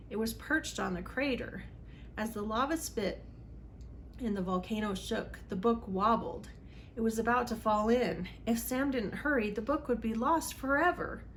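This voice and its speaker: A woman's voice: on the high side, moderate pace, slight background noise. A woman reading a storybook aloud for children in a dramatic, emphatic way. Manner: dramatic, emphatic on exciting moments. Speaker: read-aloud host for children.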